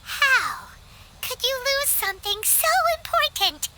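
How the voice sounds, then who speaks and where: Squeaky tiny fairy girl. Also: big pitch swings, slow pace, very noisy, heavily acted. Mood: puzzled. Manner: exclaiming, refers to self in third person. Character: tiny chirpy childlike fairy girl companion, inquisitive. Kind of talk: video game voice acting.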